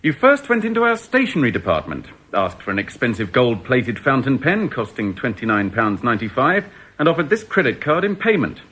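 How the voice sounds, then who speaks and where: Insistent, courteous male detective, formal delivery with lively rise and fall, radio play. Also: medium pitch, a little fast. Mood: accusing, methodical. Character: firm, coolly polite male store detective. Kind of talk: radio drama acting.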